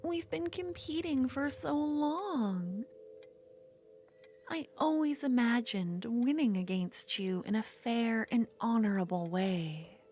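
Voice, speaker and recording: A woman playing an obsessive, menacing yet tender duelist rival, bitterly infatuated, in an intimate, halting ASMR fantasy roleplay. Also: fairly high pitch, animated, darkish tone, soft background music. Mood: teasing, wistful.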